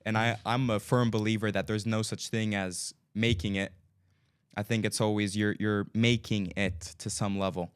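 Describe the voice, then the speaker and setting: A man's voice: fairly low pitch, flat delivery, normal speed, smooth. A young man as a podcast guest, talking about himself in an upbeat, self-assured way in a studio. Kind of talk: casual interview. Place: recording studio.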